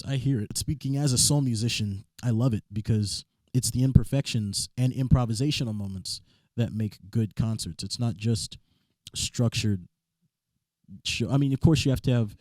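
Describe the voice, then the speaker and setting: Young man, raspy, warm dark tone, dry sound, rambling guest chat. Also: mid-range voice, dry recording. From Southern California. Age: young adult. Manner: rambling, stammers on restarts. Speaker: musician guest. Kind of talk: casual interview chat.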